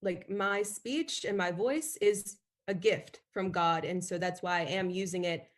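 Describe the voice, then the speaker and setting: A young woman in her twenties speaks with a hesitant manner, filling her sentences with "like". She calls in to an advice show over a video call, her voice at an ordinary pitch. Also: unhurried. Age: 20s. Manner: hesitant, full of "like". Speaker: caller. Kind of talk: call-in conversation.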